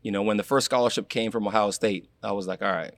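A young man from Florida, a guest in a casual interview, telling animated stories and acting out what people said. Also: lowish voice, quick pace, no room sound. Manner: animated storyteller, acts out quotes, laughs. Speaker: guest, former college athlete.

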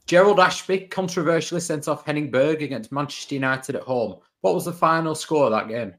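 A man's voice: fairly high pitch, brisk. A man co-hosting a casual podcast, asking quiz questions with dry jokes. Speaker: podcast co-host.

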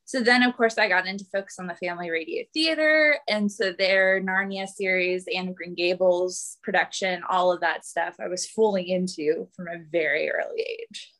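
A woman's voice: lowish voice, normal speed, fairly bright tone. A woman in her late twenties chatting casually as a podcast guest, speaking with emphatic enthusiasm. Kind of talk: casual chat.

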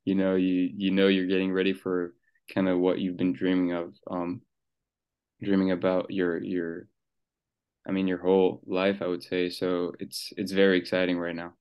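A young man from Switzerland speaks in a very low, even tone, hardly moving his pitch. His voice is smooth and clear. He talks hesitantly, with frequent ums and restarts, as a guest being interviewed. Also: normal speed. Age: young adult. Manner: hesitant, many fillers and restarts. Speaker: guest, soccer player. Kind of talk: casual interview.

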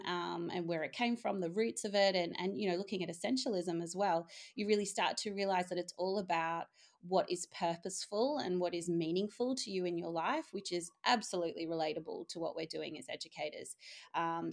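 A woman from Australia with an Australian accent, a guest and co-author on a video call, often repeating words as she starts a thought. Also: on the low side. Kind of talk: casual interview talk.

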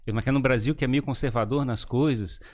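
A man's voice: medium pitch, fast, a little mellow. A man interviewing a guest in casual conversation, asking curious follow-up questions.

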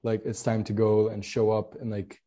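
Rambling young man, lowish voice. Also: monotone, moderate pace, warm tone. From Spain. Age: 20s. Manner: rambling, laughs often, many fillers and restarts. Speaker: podcast guest, product designer. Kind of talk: casual interview chat.

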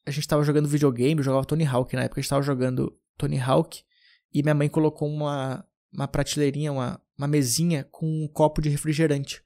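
A young man from southern Brazil chats on his podcast, drifting from one anecdote to the next. His voice sits fairly high for a man, and he keeps restarting his sentences in an easygoing, rambling way. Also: darkish tone. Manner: rambling, loose anecdotes with many restarts and filler. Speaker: podcast host. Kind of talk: casual storytelling chat.

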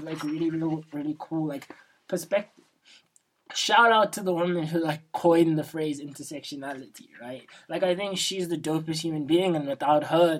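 Young man, feminine-sounding, casual podcast host. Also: poor audio. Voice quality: feminine-sounding, not a deep baritone. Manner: informal, slangy, seeks agreement with frequent "right?" and "like". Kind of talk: casual reflective solo podcast talk.

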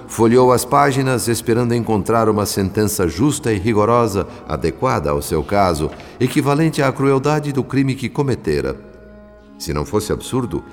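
A man's voice: lowish voice, darkish tone, lightly acted. A man with a Brazilian accent narrating a radio drama as a solemn observing spirit, in a literary, theatrical manner. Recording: long reverb, music underneath. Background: music.

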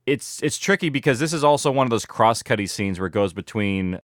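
A man talking reflectively as an interview guest, halting and thoughtful. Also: medium pitch, lively intonation, no room sound, studio quality. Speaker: podcast guest, audio drama producer.

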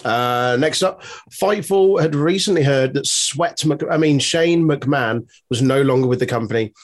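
A man's voice: mid-range voice, normal speed. A man co-hosting a wrestling news podcast in casual chat, swearing easily and reading out news items. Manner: swears casually, laid-back banter. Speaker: podcast co-host.

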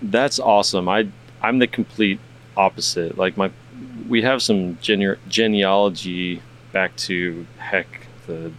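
Low voiced guy hosting a podcast, rambly and easygoing, cusses a bit. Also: steady tone, takes it slowly, fairly bright tone, a little hiss or hum, rough recording. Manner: rambling, laid-back, swears casually. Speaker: podcast host. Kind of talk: casual interview chat.

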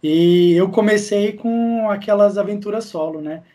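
A man's voice: high voice, unhurried, dark tone. A Brazilian man speaking as a podcast guest in casual, conversational style. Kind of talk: casual chat.

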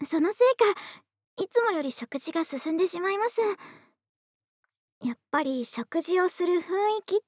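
A voice actress playing a polite, doting young woman next door in an intimate, soft-spoken ASMR roleplay. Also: very high-pitched, animated, normal speed, no echo, clean studio sound. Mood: happy, tender. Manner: soft-spoken, courteous, polite speech, affectionate. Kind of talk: Japanese ASMR situation voice drama, intimate roleplay. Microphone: close studio microphone, dry.

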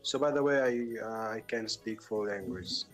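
A young man from Germany, a native German speaker and student, chatting haltingly in English with many fillers. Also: lowish voice, faint music behind. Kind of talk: casual chat.

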